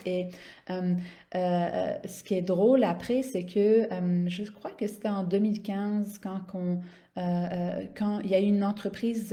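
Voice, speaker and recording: A woman's voice: medium pitch, mellow. A woman, telling personal stories in a casual, unscripted way, often hesitating and repeating small words as she searches for the next one. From raised in the Middle East (Saudi Arabia, Dubai) in a Syrian-Canadian family. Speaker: health coach and business owner hosting a live stream. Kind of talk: spontaneous casual personal storytelling.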